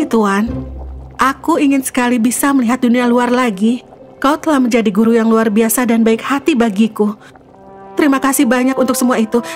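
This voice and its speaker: A woman's voice: a little high, natural voice. A woman voicing a brave, polite young heroine in a fairy tale, earnest and respectful.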